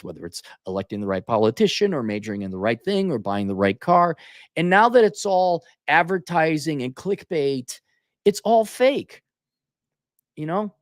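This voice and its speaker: An exasperated man riffs as host of a livestream, with a very animated pitch that swoops around. His talk is sarcastic, colloquial and opinionated, and the sound is close and dry. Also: no room sound. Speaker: online commentary host answering viewer comments. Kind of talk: off-the-cuff opinionated livestream rant.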